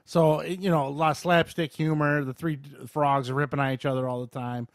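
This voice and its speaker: A man's voice: on the high side, flat delivery, brisk, no room sound, clean studio sound. A man hosting a podcast, casually reviewing video games in informal, slangy language and short bursts of explanation. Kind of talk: casual chat, game review.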